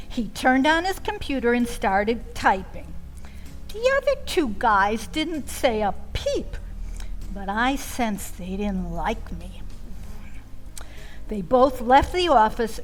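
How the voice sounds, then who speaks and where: A woman telling a first-person story in a theatrical way, with dramatic, deliberate delivery, pauses and little asides. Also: a little high, poor audio.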